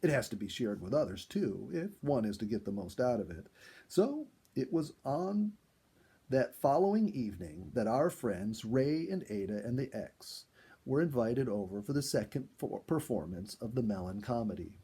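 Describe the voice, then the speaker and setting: Animated, gravelly male storyteller. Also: mid-range voice, moderate pace. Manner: expressive, acts out dialogue and exclamations. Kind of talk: dramatic narrated animal story read aloud, first person.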